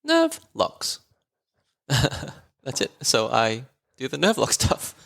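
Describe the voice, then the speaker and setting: A man's voice: mid-range voice, huge pitch swings, very slow, creaky, a bit bright. A man, a voice therapist talking as a guest in a casual podcast conversation, enthusiastic and chatty with frequent laughs.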